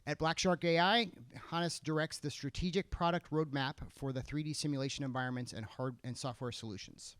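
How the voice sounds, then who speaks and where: A man opens a conference session with housekeeping notes and a speaker introduction. His voice is fairly high and smooth, recorded dry, and he keeps things moving with frequent filler sounds. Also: fairly even intonation, fairly quick, hardly any pauses, a bit bright, dry recording. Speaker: session moderator. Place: conference hall.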